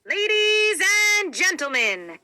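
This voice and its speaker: A woman's voice: extremely high pitch, very animated, takes it slowly, bright, forceful tone, no room sound, pitched above the performer's own voice, exaggerated voice. Woman voicing an earnest, formal circus owner man. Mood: showy, booming. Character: circus owner, a man, showy announcer turned nervous and solemn.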